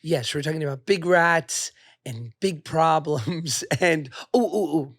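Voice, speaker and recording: Slightly hoarse man, playful podcast host. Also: fairly high pitch, a little slow, no room sound, studio quality. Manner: playful, teasing, laughs often; tells anecdotes in an animated way. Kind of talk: casual chat.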